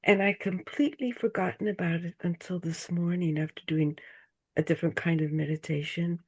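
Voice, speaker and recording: An older woman from the United States, a guest on a video call, speaking slowly and searching for her words. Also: on the low side, steady tone, breathy edge. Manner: slow, thoughtful, searching for words, drops to a whisper. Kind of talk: casual chat on a group video call.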